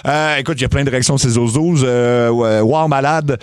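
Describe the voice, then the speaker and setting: A man's voice: medium pitch, normal speed. A man with a Quebec French accent hosting a radio show with informal, jokey banter.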